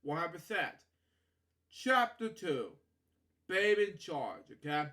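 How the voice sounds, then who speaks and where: A man's voice: a little high, very animated, sharp tone. A man reading a children's story aloud as host, stumbling and repeating himself, with rambling asides and habitual catchphrases. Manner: halting, stumbling over words, repeating himself; frequent filler catchphrases like "okay" and "you know".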